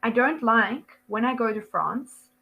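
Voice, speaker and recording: A young woman with a South African accent talks through an online English lesson over a video call. Her voice sits a little high and she chats freely, laughing often and filling her sentences with ums and likes. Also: a little slow, gravelly, lo-fi sound, a little hiss or hum. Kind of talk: casual one-to-one lesson chat.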